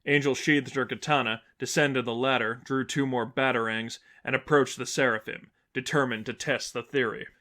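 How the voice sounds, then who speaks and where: A man narrates an action story from a script. His voice sits at an average male pitch, in the manner of an amateur audiobook reading. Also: fairly even intonation, moderate pace, hardly any pauses, pushed and bright. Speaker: narrator reading fan fiction.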